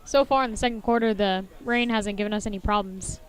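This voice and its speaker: A woman delivers live football commentary in a strikingly clear, smooth voice. Her pitch sits in the middle range for a woman. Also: fast, rough recording. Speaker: sports commentator.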